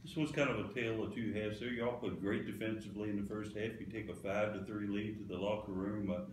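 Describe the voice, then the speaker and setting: A man's voice: fairly low pitch, steady tone, fast talker. A man interviewing a coach, asking questions in an easy, casual way from off camera. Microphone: off-camera, away from the mic. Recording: distant, echoey, faint hiss.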